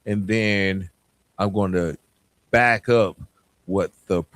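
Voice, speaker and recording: A man's voice: sits low, takes it slowly, fairly bright tone, no room sound. A man hosting a podcast, talking in a plainspoken, conversational motivational style and addressing listeners directly with rhetorical questions. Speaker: podcast host.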